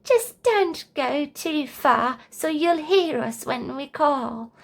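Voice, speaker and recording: Storybook granny giraffe, old, affectionate, high-voiced and unhurried female reader. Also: lively intonation, creaky, exaggerated voice. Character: sweet elderly grandma giraffe, indulgent, independent.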